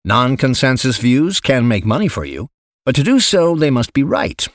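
A man reading an essay aloud as scripted narration, measured and articulate, with emphatic phrasing. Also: medium pitch, sing-song, no echo, studio quality. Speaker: narrator reading an investment memo.

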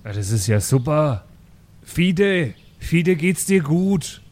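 A man's voice: a little high, big pitch swings, takes it slowly, a little mellow. A man playing a flustered, suspicious schoolteacher in an improvised audio role-play, hesitant and colloquial. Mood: puzzled. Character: earnest, flustered, suspicious male schoolteacher amateur sleuth.

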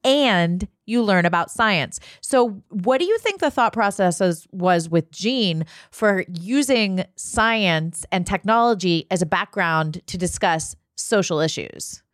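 Panel host: woman with a mid-range voice, relaxed conversational style, very little room echo. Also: medium pitch, slow pace, few pauses, clean studio sound. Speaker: discussion host. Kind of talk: casual panel discussion.

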